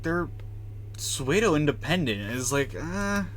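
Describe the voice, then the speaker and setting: Man streaming with very lively pitch. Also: slow pace, rough recording. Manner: wry, slangy reactions. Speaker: live game streamer. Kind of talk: casual live commentary over gameplay.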